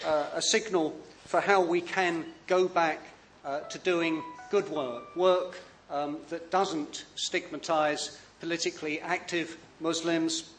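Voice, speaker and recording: A man's voice: fairly high pitch, fairly even intonation, slow, slight background noise. Hesitant male speaker, full of ums. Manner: hesitant, many fillers and restarts, often quiet. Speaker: guest speaker and author. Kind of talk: public talk.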